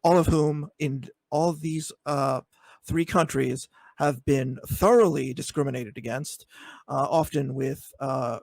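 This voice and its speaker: Halting, argumentative man on a dry call. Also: on the high side, creaky, darkish tone, no room sound. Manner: hesitant, many fillers, builds into pointed argument. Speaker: debate guest. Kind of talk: online debate.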